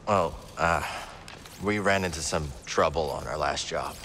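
A young rebel in a video game. His voice is low and understated, terse and dryly weary, with faint noise behind it. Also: a little slow, pushed and bright, heavily acted. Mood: dry, reserved. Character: weary, guarded young male rebel fighter. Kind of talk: video game cutscene acting.

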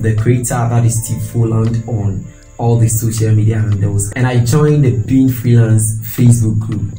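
A man's voice: mid-range voice, mellow, reverberant, rough recording. A young man from Ghana with a Ghanaian English accent, telling his freelance story to camera on a lapel mic with earnest hand gestures. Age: young adult. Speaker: freelance designer and video creator. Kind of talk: casual talking-head video. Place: small home room with shelves. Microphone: clip-on lapel mic.

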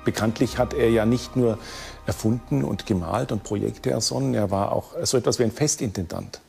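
A man explaining as an expert in an unscripted documentary interview, with hesitant, measured delivery. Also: lowish voice, creaky, darkish tone, soft background music. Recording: long hall-like reverb.

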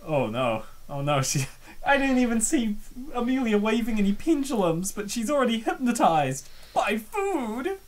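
A woman giving excitable, theatrical, joking reaction commentary as narrator of a comic dub. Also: fairly high pitch, moderate pace, a little hiss or hum.

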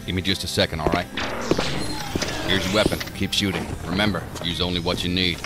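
Man playing a very deep-voiced, sardonic federal agent. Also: little pitch movement, brisk, close to an everyday voice. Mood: brisk, businesslike. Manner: gruff, sarcastic, clipped orders. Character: bitter, sardonic male federal agent, grudge-holding. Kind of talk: audio drama acting. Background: music.

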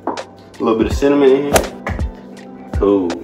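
A man's voice: mid-range voice, measured pace. A young man chatting casually to his viewers while he cooks in a home kitchen, filmed by a camera a few feet away. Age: young adult. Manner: laid-back, chatty, talks to viewers while cooking. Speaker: fitness vlogger. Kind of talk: casual cooking vlog. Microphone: camera set on the counter, a few feet away. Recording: distant camera mic, music behind.

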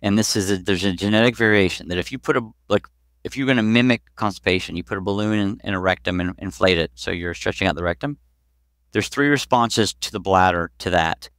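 A man's voice: low voice, brisk, a bit bright, smooth, no room sound. A man in his forties giving informal, conversational expert explanations with everyday examples, speaking into a headset on a video call. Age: 40s. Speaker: pediatric urologist, podcast guest. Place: small clinic office, video call. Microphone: headset boom mic.

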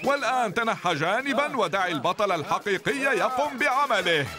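A man's voice: high-pitched, lively intonation, a little fast, music in the background. An actor playing a vain, scheming male villain in an Arabic cartoon dub, theatrical in his delivery. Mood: gleeful.

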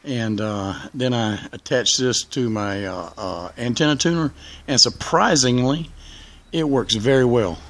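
A man's voice: medium pitch, a little slow, a bit bright. A man giving an informal, rambling vlog narration outdoors, speaking from behind a handheld camera. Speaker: hobby radio video maker. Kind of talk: casual vlog narration while filming. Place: outdoors in a backyard.

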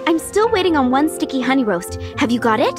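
A woman's voice: high voice, big pitch swings, quick pace, music in the background. A woman playing a friendly, proud young cook in a video game, speaking politely and effusively. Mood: polite, encouraging. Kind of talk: scripted video game dialogue.

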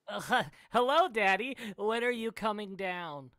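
A woman playing a halting male neighbor friend in a 1940s radio comedy. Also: medium pitch, fairly bright tone, no room sound, studio quality, natural voice. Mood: hesitant, wry.

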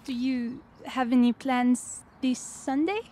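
An actress playing a sweet, curious young French music student, earnest and inquisitive, in a radio drama. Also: female voice, high-pitched, fairly even intonation, slow, mellow. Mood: friendly, curious.

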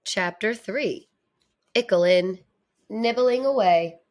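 A woman's voice: mid-range voice, takes it slowly, darkish tone. A woman reading a children's storybook aloud. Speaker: storybook reader.

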